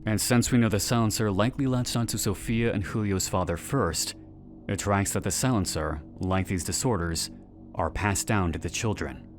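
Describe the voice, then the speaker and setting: A man reading a scripted video-essay narration in a measured, earnest and solemn way. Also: on the low side. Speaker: narrator of a series analysis video. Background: background music.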